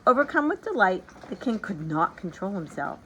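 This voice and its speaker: A woman reading a children's story aloud in an animated, expressive, emphatic storytelling manner. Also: mid-range voice, normal speed, rough recording. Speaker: storybook reader. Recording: background noise behind the voice.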